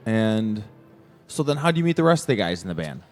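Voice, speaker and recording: A man's voice: fairly low pitch, big pitch swings, brisk, faint music behind. A man hosting a casual interview, chatting and joking in an informal, slangy, sometimes profane way. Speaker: podcast host interviewing a band.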